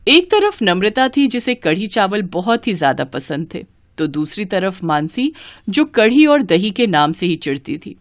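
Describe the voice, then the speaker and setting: A woman narrating a scripted Hindi family story as storyteller, with an expressive storybook delivery. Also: medium pitch, measured pace, no room sound, clean studio sound.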